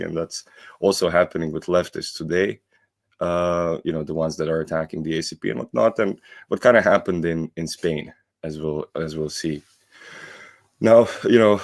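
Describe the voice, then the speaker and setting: A man from Croatia with a Croatian accent, talking casually about politics as a guest, often repeating his phrases haltingly. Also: deep voice. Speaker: guest commentator.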